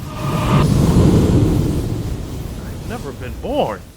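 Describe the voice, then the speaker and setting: A man playing a desperate, wisecracking family man in a radio play, talking fast and wry. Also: on the high side, huge pitch swings, heavy background noise, exaggerated voice. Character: desperate, wisecracking everyman husband. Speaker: radio actor. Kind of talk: 1940s radio acting.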